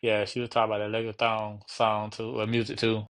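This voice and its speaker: A man's voice: lowish voice, completely flat delivery, fast, a bit bright, smooth. A man hosting a casual interview, asking questions in a hesitant way with lots of ums. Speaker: interviewer host.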